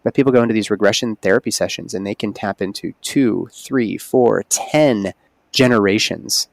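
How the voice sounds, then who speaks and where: A man's voice: on the low side, normal speed, creaky, dry recording. A man speaking as a holistic OB-GYN guest on a podcast, explaining earnestly in casual conversation. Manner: earnest, explanatory, animated emphasis.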